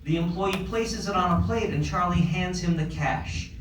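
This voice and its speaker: A man's voice: high-pitched, normal speed, a bit bright. A man narrating a screenplay's scene directions at a table read. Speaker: stage-direction reader. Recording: roomy, distant, faint ambient noise.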